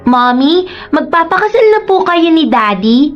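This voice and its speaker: A very high, childlike voice plays a sweet little boy. He is eager and polite, pleading lovingly in radio drama style, with music underneath. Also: big pitch swings, moderate pace, lo-fi sound. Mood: earnest. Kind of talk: Tagalog radio drama acting.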